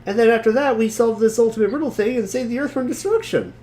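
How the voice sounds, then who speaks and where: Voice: medium pitch, fast, darkish tone, voice pushed up, natural voice. A performer playing an earnest, naive young boy hero in an amateur webcomic reading, eager and puzzled.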